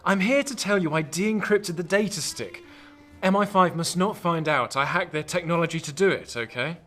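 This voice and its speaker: A man's voice: a little high, moderate pace, fairly bright tone. A man acting in a radio drama as an anxious, protective intelligence officer, urgent and cautioning. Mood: guarded, urgent. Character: anxious, earnest male intelligence officer, protective of his lover. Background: music.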